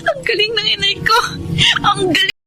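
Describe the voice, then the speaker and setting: An actress playing an emotional, pleading young daughter in a Filipino radio drama. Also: female voice, very high-pitched, fairly even intonation, normal speed, bright, forceful tone, a little hiss or hum. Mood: moved, proud. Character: repentant, tearful young daughter returning home to her mother. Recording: music behind.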